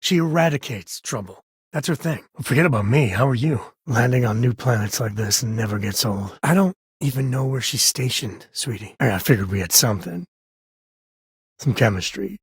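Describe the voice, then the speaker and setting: Casting: wry space-frontier cowboy for a game, husky mid-range voice, folksy, easygoing, close dry sound. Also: male voice, mid-range voice, normal speed, no room sound. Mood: warm, teasing. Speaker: video game voice actor. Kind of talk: acted video game companion dialogue.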